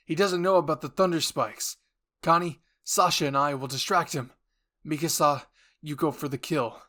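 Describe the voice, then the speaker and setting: A man voicing a brash, hot-headed young male squad leader who barks orders forcefully in an anime roleplay. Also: a little high, fairly even intonation, normal speed. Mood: commanding. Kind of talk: anime fan roleplay voice acting.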